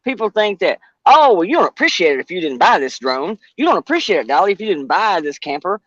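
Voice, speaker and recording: A man's voice: sits high, sing-song, fast talker, fairly bright tone, no room sound, highs cut off. Profane, chatty man in his forties. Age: 40s. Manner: profane, rambling, self-mocking storyteller. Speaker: livestream panelist. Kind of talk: casual chat.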